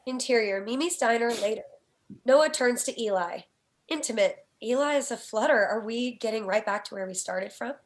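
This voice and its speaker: A woman's voice: on the high side, normal speed. A woman reading stage directions in scripted screenplay narration.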